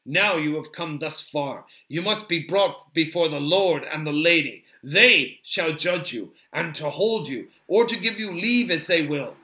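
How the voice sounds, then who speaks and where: A man voicing a stern, dignified male elf sentinel in a storybook, formal, measured and commanding. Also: fairly high pitch, expressive, pushed and bright. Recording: roomy, echoing sound.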